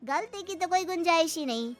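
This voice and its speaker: A bossy, grasping older father-in-law played by a woman lays down threats in a moral tale. The voice is high, with faint music behind it. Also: animated, normal speed. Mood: stern threat. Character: stern, grasping older father-in-law, protective of his daughter.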